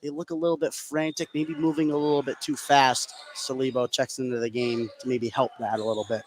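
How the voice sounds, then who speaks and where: A man giving analytical color commentary on a live high school basketball broadcast. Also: little pitch movement, brisk. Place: indoor high school gym. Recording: clear gym noise behind.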